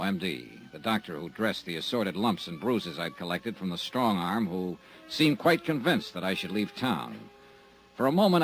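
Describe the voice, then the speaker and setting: An actor playing a wisecracking insurance investigator in a 1950s radio drama, talking dry and laconic. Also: male voice, on the low side, low-quality recording. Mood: narrating. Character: wry tough male private insurance investigator. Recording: old radio recording with music.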